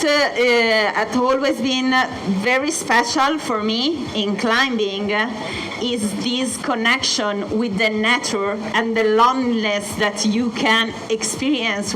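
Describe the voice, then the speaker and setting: A young Italian woman with an Italian accent, presenting as a mountain guide and pausing often with filler sounds. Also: fairly high pitch, a bit bright, a little hiss or hum, low-quality recording. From Italy. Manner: many filler sounds and pauses. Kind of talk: hesitant presentation talk. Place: hotel event space.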